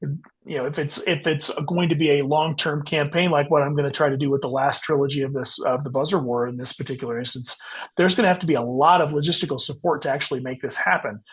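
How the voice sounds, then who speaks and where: A man speaking as an author guest in a casual podcast interview, explaining thoughtfully and haltingly as he corrects himself. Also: on the high side, fairly even intonation, a bit bright, husky.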